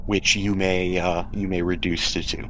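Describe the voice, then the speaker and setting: Low-voiced man running a tabletop game. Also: level tone, moderate pace, sharp tone, a little hiss or hum. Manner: descriptive narration with casual asides and hesitations. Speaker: game master. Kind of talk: narrating a tabletop role-playing game.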